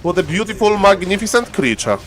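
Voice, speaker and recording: Polish guy casting a live game, high voice, chatty and excited, reads his chat. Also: fairly quick, a little hiss or hum. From Poland. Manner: chatty, excitable, answers chat, laughs often. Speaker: game caster.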